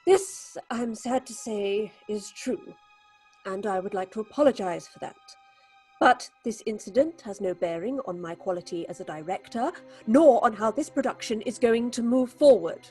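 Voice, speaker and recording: A woman acting in an audio horror drama as a proud, haunted theatre director, husky and commanding in a clipped, theatrical way. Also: mid-range voice, normal speed, a little mellow, faint music behind, natural voice. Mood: icy composure. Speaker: actress.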